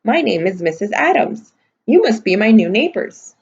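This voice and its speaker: Woman playing a friendly grandmotherly neighbor, slightly husky. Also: medium pitch, big pitch swings, normal speed, a little mellow, highs cut off. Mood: welcoming. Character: friendly grandmotherly new neighbor lady in a storybook.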